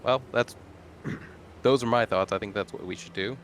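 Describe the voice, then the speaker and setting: Male tabletop player, fairly low voice, conversational and measured, faint noise in background. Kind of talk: casual in-character roleplay chat.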